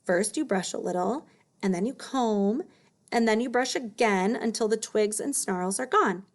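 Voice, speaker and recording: A woman's voice: medium pitch, normal speed, close to an everyday voice. A woman voicing a polite, eager little boy from a children's storybook, pleading and helpfully explaining.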